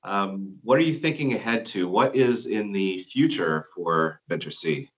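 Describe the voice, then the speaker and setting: Guy interviewing a band singer, lowish voice, kinda hesitant with ums. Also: animated. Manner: hesitant, halting questions with fillers. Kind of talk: casual interview questions.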